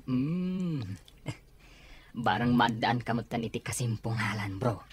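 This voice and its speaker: A man's voice: medium pitch, lively intonation, slow, slight background noise. A man with an Ilocano accent playing a nosy, amused, teasing buddy in a radio comedy drama.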